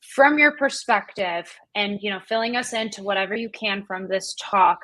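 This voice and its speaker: A woman's voice: medium pitch, normal speed, fairly bright tone, no room sound, poor audio. A woman podcast host, a native Spanish speaker from Colombia, interviewing in a casual, appreciative and affirming way.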